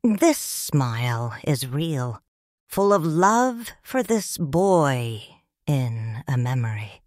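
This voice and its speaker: A woman as a hushed grieving widow. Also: very deep voice, very animated, no room sound, clean studio sound, heavily acted. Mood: sorrowful. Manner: slow, hushed storytelling. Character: grieving widowed noble mother, quiet and restrained. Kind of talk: first-person story narration.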